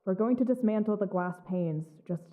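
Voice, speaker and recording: An actress playing a cool, blunt covert operative who gives terse, authoritative instructions in an audio drama. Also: female voice, mid-range voice, normal speed, phone-quality sound, lightly acted. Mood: cool, matter-of-fact.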